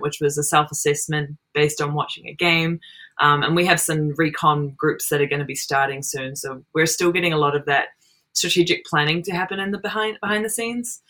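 A woman's voice: sits low, fairly even intonation, fairly quick, a bit bright. A young adult woman with an Australian and New Zealand accent, a roller derby player chatting casually on a podcast and rambling with fillers. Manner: rambling, with frequent fillers and laughs. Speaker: roller derby jammer and captain.

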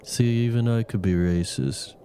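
A man's voice: medium pitch, moderate pace, mellow. A man casually introducing his play as its writer. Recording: faint background noise.

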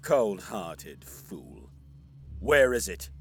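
An actor playing a proud, hot-tempered alien elf warrior, imperious and taunting, in an audio drama. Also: male voice, on the low side, big pitch swings, very slow, full character voice. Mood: angry, menacing.

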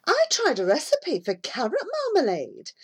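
A woman's voice: high voice, wildly swooping pitch, moderate pace, husky, pitched above the performer's own voice, heavily acted. A woman reading a story aloud, voicing a grumbling, gossipy village housewife.

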